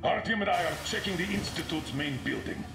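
An actor playing a gruff older colonel in a video game, terse, commanding and grave. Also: male voice, a little high, lively intonation, fairly quick, echoey room, over music. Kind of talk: dramatic video game acting.

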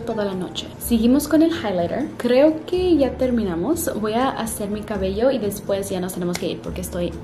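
Young adult woman, relaxed self-correcting vlog chatter, close camera in an echoey hotel room. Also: mid-range voice. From Canada. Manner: chatty, pauses to search for words and corrects herself. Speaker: vlogger. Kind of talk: casual get-ready-with-me chat to camera. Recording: roomy room with music underneath.

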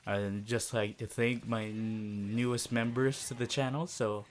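A man making a casual channel announcement in an informal, halting way, as an audio creator. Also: medium pitch, flat delivery.

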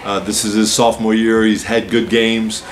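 A man in his forties, a head basketball coach, calmly answering postgame questions at a press-room table, explaining with many "uh" and "so" openers. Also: lowish voice, moderate pace, a little hiss or hum. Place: press room with a team backdrop, seated at a table.

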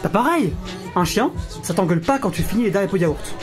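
A woman's voice: mid-range voice, animated, fast talker, natural voice. A woman playing a lighthearted, self-justifying dog lover in casual audio drama dialogue. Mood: casual, joking. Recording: music behind the voice.

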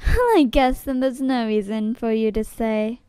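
A woman's voice: fairly high pitch, takes it slowly, warm tone, clean tone, no room sound. A woman acting a scornful, hot-tempered young woman in an amateur audition for a drama series, accusing and emphatic. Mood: cold.

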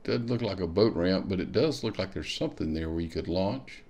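Gravelly low-voiced man musing. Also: lively intonation, fairly quick, darkish tone. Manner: thinking aloud, musing with hmms while clicking. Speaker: kayak fishing show host. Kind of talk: screen-share tutorial walkthrough.

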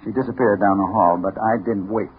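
An American actor playing a dogged, hard-boiled newspaper reporter in a 1950s radio crime drama, with punchy, urgent delivery. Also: male voice, on the low side, steady tone, a little fast, natural voice. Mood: tense, grim. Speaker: actor narrating and playing the lead. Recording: tinny, noisy 1950s radio recording.

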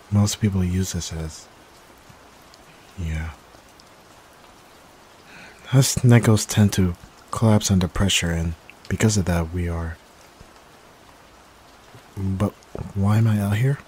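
A man playing a timid, gentle young catboy in an ASMR roleplay, speaking hesitantly with stammers. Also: deep voice, rough recording. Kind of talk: soft-spoken ASMR roleplay. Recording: steady rain noise behind voice. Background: rain.

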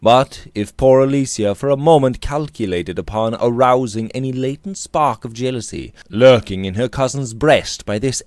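A man in his thirties reading a Victorian novel aloud with theatrical, deliberate phrasing, close to the microphone in a small room. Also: medium pitch, a little mellow, no room sound. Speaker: audiobook reader. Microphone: close studio mic on boom arm.

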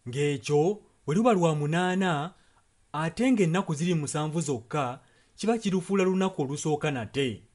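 A man's voice: a little high, expressive, moderate pace, darkish tone. A man with a Ugandan accent interpreting a sermon in short translated passages.

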